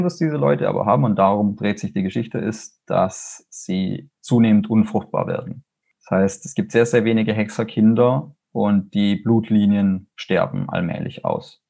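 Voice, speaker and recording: A man's voice: fairly low pitch, creaky, mellow, breathy edge. A man with a Swabian accent, a fantasy author speaking casually and enthusiastically in an interview, rambling with fillers and restarts. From Swabia, Germany.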